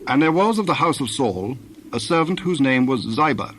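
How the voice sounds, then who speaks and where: A man narrating scripture from a script in a measured, formal way. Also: mid-range voice, animated, a bit bright. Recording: clear background noise with birdsong.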